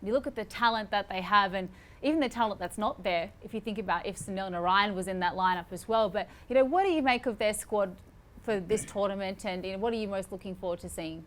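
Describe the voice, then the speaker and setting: A woman's voice: mid-range voice, fast talker. A woman hosting a sports panel discussion, putting questions to her guests. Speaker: host of a cricket discussion show.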